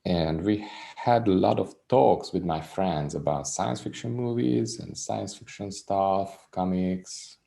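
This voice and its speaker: Slow, gravelly Hungarian-accented man. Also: low-pitched. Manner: reserved, soft-spoken, unhurried. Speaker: musician guest. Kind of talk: casual interview chat.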